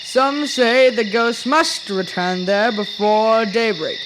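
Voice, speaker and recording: A man's voice: sits high, slow pace, background noise. A young male student playing an old-time chronicler, narrating a ghostly radio play in formal, ornate language. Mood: eerie storytelling. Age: young adolescent performer in a middle school production. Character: Dietrich Knickerbocker, old-time storyteller and chronicler of ghostly tales. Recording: music behind the voice.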